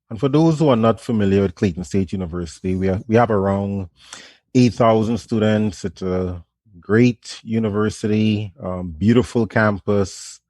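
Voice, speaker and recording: A man from Trinidad and Tobago with a Trinidadian accent, a management professor speaking softly and in a friendly way as a radio guest. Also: fairly low pitch, dry recording. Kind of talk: radio interview.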